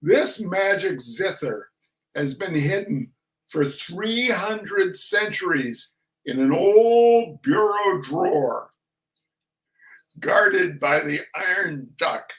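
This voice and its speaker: Slow, higher-pitched man reading comedy theatrically. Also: a bit bright, low-quality recording. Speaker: reader of a comic essay. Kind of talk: theatrical comic reading of a humorous text.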